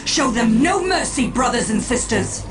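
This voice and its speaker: A woman's voice: fairly high pitch, lively intonation, normal speed, lightly acted. A woman playing a proud female alien seer in a video game, speaking formally and solemnly as a leader of her people. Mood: fierce, commanding. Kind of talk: dramatic video game acting. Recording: music bed underneath.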